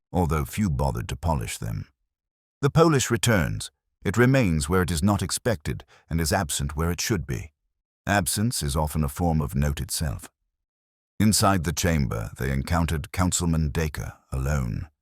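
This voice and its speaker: A man narrating a scripted mystery story in a measured, literary way, with a husky voice. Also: rumbling low, no room sound, clean studio sound.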